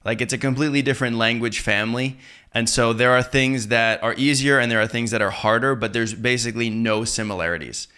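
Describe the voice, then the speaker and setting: A man's voice: mid-range voice, fairly even intonation, a little fast, bright, forceful tone, smooth. A Canadian man, a native English speaker, chatting casually as a podcast host. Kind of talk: casual conversational interview.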